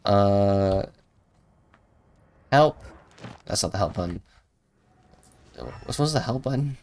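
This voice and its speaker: Man, low voice, swooping intonation, casual slangy game streamer, muttering, unsure. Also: lively intonation, slow, darkish tone, a little hiss or hum. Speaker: live streamer playing a video game. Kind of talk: casual live gameplay commentary. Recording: music behind the voice. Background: game music.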